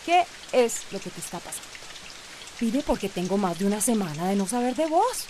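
An actress with a Costa Rican accent playing a bossy but caring woman friend in a radio soap, expressive and insistent. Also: mid-range voice, a little fast, slight background noise, lightly acted. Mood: concerned, insistent. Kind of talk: radio soap opera acting.

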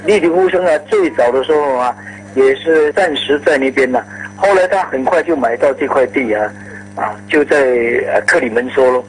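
A man's voice: a little high, moderate pace, a little hiss or hum, poor audio. A man informally recounting things in a radio studio, ending phrases with particles.

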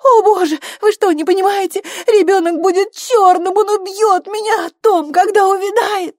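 A woman's voice: extremely high pitch, fairly even intonation, normal speed, darkish tone, no room sound, studio quality, pitched above the performer's own voice. A woman voicing a hysterical young woman in labour, screaming and pleading desperately in an audiobook. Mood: hysterical terror. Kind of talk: audiobook character acting.

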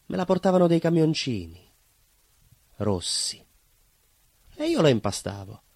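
An actor playing a simple, proud working-class baker in a stage monologue, earnest and conversational, with a southern Italian accent. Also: male voice, medium pitch, darkish tone, no echo. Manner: naive, earnest, emphatic, conversational. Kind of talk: theatrical monologue, colloquial storytelling.

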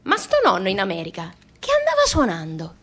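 A woman's voice: mid-range voice, wildly swooping pitch, a little fast, fairly bright tone. A woman playing a teasing, insistent young daughter in a live radio play, talking conversationally. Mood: animated, arguing. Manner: conversational, repetitive, pedantically correcting. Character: young adult daughter, teasing, insistent, questioning her mother. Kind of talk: live radio theatre acting.

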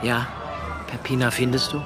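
Mid-pitched gentleman father over music played by a man. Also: level tone, normal speed, over a noisy crowd, natural voice. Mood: relieved. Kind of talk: radio drama acting.

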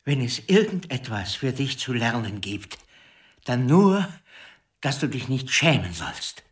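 Strenger, trauernder Klavierlehrer, stark bewegte Stimme. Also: male voice, medium pitch, very animated, measured pace, distant mic. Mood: solemn, urgent. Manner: theatrical, emphatic, emotionally intense. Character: grieving, stern, passionate male piano teacher. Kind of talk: literary radio drama acting.

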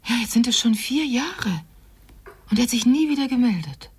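An actress playing an older, anxious grandmother in a radio drama, fretful and pleading in a breathy voice. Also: fairly high pitch, expressive, moderate pace. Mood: worried, pleading. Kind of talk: German radio drama acting.

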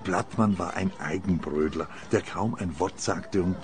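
A man's voice: low voice, a little slow. Grouchy old man on the run, defensive, radio drama, slight noise behind. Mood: resigned, reflective. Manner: grumpy, defensive. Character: grumbling, defensive old man wanted by police. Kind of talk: radio play acting.

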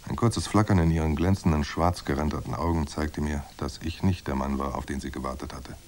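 An actor playing a hard-boiled private detective in a noir radio drama, dry, laconic and sardonic. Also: male voice, very deep voice, fairly quick, darkish tone, slight background noise. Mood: dry, wry. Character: hard-boiled male private detective narrating, wry and unflappable. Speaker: narrator and lead actor.

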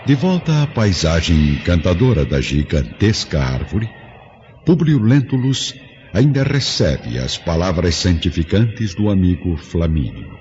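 Deep, animated Brazilian male narrator. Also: measured pace. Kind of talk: dramatic scripted radio drama narration. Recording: dull lo-fi radio drama, music behind, faint noise.

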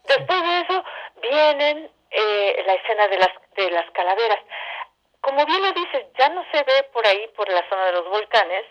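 A woman talking as a guest in a conversational interview, explaining things in a halting then flowing way. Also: on the high side, big pitch swings, highs cut off. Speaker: interview guest, theatre director.

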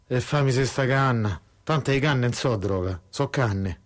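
A man's voice: mid-range voice, a little slow, close to an everyday voice. A man playing a wary, easygoing slacker in a radio drama, speaking slangy, colloquial Roman. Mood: reluctant, giving in. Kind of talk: radio drama acting, casual dialogue.

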